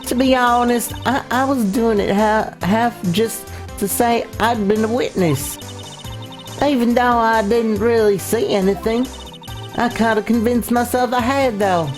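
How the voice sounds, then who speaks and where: A woman voicing a brash, folksy, scheming Southern photographer with a Southern US accent and a rambling, halting delivery in a voiced visual novel playthrough. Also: on the high side, fairly even intonation, close to an everyday voice. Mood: confessional. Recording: faint game music behind. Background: video game music.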